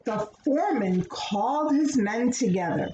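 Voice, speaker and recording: A woman reading a children's book aloud in an expressive, dramatic way, as if explaining to young listeners. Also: a little high, a little slow, muffled recording. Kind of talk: expressive storybook read-aloud with asides explaining words. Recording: roomy echo, clear background noise.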